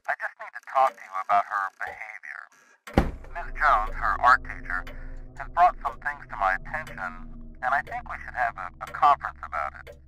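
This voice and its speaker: A man's voice: medium pitch, big pitch swings, fairly quick, pushed and bright, a little hiss or hum, poor audio. An American man playing a polite, businesslike elementary school principal in an audio drama. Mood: polite, formal.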